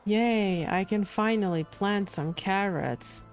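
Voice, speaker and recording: A woman's voice: medium pitch, takes it slowly. A woman livestreamer giving casual reaction commentary on a game, with music behind her.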